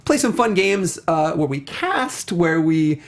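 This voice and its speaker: A man's voice: on the high side, sing-song, slow pace. An American man co-hosting a casual, enthusiastic talk show, rambling and often interrupting himself as he goes. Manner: rambling, self-interrupting, effusive. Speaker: podcast co-host.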